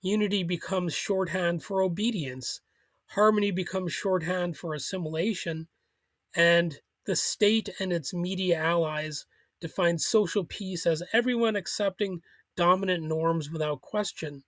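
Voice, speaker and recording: A man's voice: high voice, measured pace, a bit bright, dry recording. A man hosting a solo political commentary show, arguing critically, with chuckles and small restarts.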